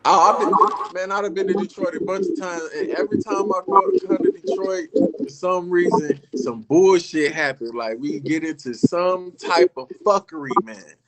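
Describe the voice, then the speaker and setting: A man's voice: high voice, expressive, normal speed, gravelly, fairly bright tone, poor audio. A middle-aged man from Illinois, a guest rapper telling his story in a casual, profane, rambling way on a podcast. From Illinois, North Chicago area.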